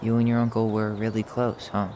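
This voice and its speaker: A man playing a friendly, gently curious young visitor in a video game, with natural, everyday delivery. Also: on the low side, completely flat delivery, fairly quick, natural voice. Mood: friendly, tentative. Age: young adult. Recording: faint outdoor ambient noise behind.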